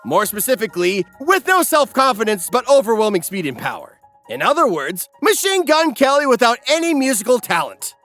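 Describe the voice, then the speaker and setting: A man's voice: very high voice, big pitch swings, fairly quick, a bit bright. A man narrating an anime parody recap as scripted comedy, mock-dramatic and wry. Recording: music behind the voice.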